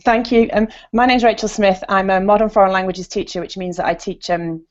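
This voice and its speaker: A woman's voice: medium pitch, fairly even intonation, fairly quick. A woman, a language teacher, introducing herself politely on a video call. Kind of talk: self-introduction. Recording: dull lo-fi video call audio.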